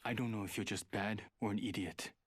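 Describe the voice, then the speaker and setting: A man's voice: fairly low pitch, steady tone, brisk, lightly acted. An actor voicing a guarded, arrogant male hacker in a video game, speaking in a terse, serious, superior way. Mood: cold, condescending. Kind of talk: video game character voice lines.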